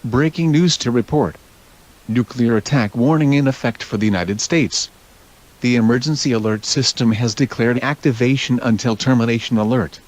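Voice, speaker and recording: A man's voice: medium pitch, moderate pace, natural voice, low-quality recording. A man playing a serious breaking-news reporter, formal and matter-of-fact, in an audio drama.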